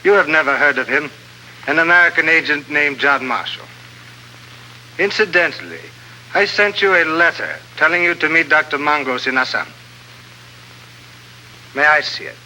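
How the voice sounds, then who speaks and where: An actor playing a suave, menacing ringleader in a 1950s radio drama, speaking formally and deliberately. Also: male voice, fairly high pitch, expressive, pushed and bright, rough recording. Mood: quiet menace. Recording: old radio recording, faint hum and noise.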